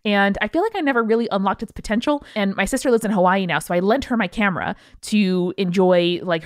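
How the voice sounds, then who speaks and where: Female podcast co-host, casual slangy banter and ad reads, animated delivery, very little room sound. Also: mid-range voice, dry recording, clean studio sound. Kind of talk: casual chatty ad read and banter.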